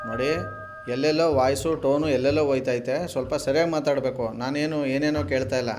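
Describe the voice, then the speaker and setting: A man's voice: mid-range voice, fairly even intonation, fast talker, darkish tone. A man with a southern Karnataka accent hosting comic prank calls, teasing and argumentative in a mock-scolding way. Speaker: radio prank call host. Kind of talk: comic prank phone call. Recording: music bed behind the voice.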